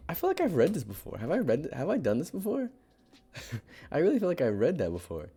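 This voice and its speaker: Hoarse young guy reading reddit on a live stream, kinda low and mellow, chatty rambly asides. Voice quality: raspy.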